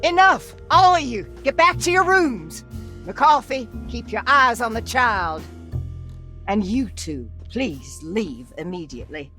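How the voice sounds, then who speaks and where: Strict bossy staff woman, curt n flustered, very animated pitch, video game, music behind. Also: takes it slowly, exaggerated voice. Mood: indignant, commanding. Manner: officious, curt, commanding. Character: stern, flustered woman guarding a private institution. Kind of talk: cinematic game voice acting.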